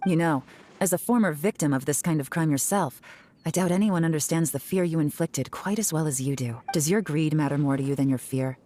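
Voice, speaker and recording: A woman playing a cool, composed investigator in a video game, stern, measured and wry. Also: sits low, warm tone, a little hiss or hum, close to an everyday voice. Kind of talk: video game character acting.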